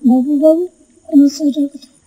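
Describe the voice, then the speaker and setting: A woman's voice: sits high, steady tone, quick pace, mellow, lightly acted. A woman voicing a sulky, pleading little boy in a cartoon, whiny and insistent. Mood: upset. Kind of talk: cartoon voice acting. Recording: muffled, music bed behind. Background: background music.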